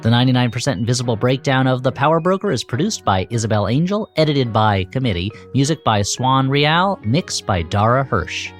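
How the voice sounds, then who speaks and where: A man's voice: medium pitch, lively intonation, brisk, hardly any pauses, faint music behind. A man co-hosting a podcast, joking wryly about a book and then plugging other projects. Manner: wry, joking. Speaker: podcast co-host. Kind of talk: casual book club chat.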